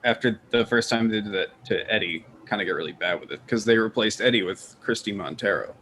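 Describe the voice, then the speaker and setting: A man's voice: fairly low pitch, a little fast, fairly bright tone, slight background noise. A man chatting casually as a podcast panelist, rambling and opinionated, with some casual swearing. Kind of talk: casual group chat.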